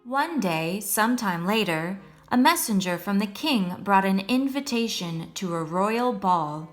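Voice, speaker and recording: A woman reading a children's story aloud as a storyteller, in a measured, expressive way meant for young listeners. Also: mid-range voice. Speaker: storyteller, first-grade teacher. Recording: music behind the voice.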